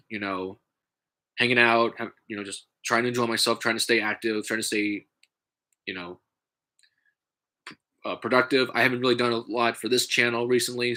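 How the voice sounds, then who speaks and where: A man with a stuffy, congested nose, talking in a halting, casual way with many fillers and restarts, vlogging from home. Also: medium pitch, sharp tone. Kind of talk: casual rambling vlog.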